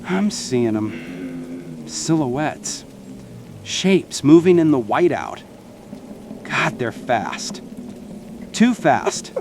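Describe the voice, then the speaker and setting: A man playing a weary, bitter field soldier reporting over the radio in tense, halting phrases. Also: mid-range voice, big pitch swings, darkish tone, full character voice. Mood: alarmed. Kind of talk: dramatic radio-transmission acting. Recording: clearly noisy radio transmission.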